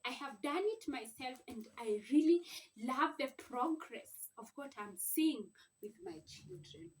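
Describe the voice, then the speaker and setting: Woman doing a homemade teaching vlog, slow simple explaining, a bit far from the mic w faint noise. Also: high voice, lively intonation. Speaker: mother teaching her children to read. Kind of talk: informal instructional vlog. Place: at home.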